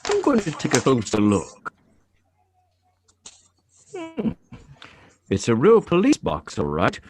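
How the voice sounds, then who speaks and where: A man's voice: on the high side, wildly swooping pitch, takes it slowly, noisy background, exaggerated voice. A man reading the part of a puzzled, plain-spoken middle-aged policeman and father in a radio play, in a folksy manner. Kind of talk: live radio drama script reading.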